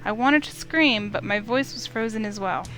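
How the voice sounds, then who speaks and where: A woman reading a visual novel aloud as an expressive, dramatic narrator. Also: medium pitch, moderate pace, low-quality recording. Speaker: let's play streamer. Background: music in the background.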